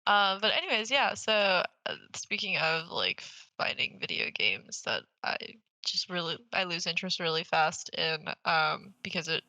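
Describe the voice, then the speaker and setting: A woman's voice: lowish voice, animated, moderate pace, sharp tone, dry recording, low-quality recording. A young woman co-hosting a gaming podcast in casual, slangy chat, swearing freely and teasing playfully. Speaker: podcast co-host.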